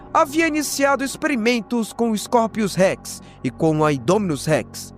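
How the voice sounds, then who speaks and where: A man with a Brazilian accent narrating a horror recap dramatically and expressively, with slangy jokey asides. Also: sits high. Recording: voice over prominent background music. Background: music.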